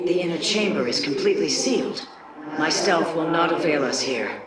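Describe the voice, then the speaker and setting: A stern, proud alien warrior matriarch speaks coolly in a lowish voice. It echoes as if from a distance, in dramatic video game style. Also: female voice, expressive, normal speed. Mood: resolute. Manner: cool, resolute, curt. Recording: echoing, distant-sounding voice. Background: loud music.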